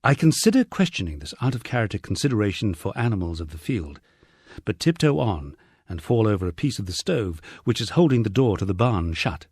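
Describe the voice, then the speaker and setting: A man narrates a memoir in a lively, varied delivery with wry understatement. His voice sits fairly low and has a husky grain. The sound is dry and close, suited to an audiobook. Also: fairly quick, no room sound. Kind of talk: scripted first-person memoir narration.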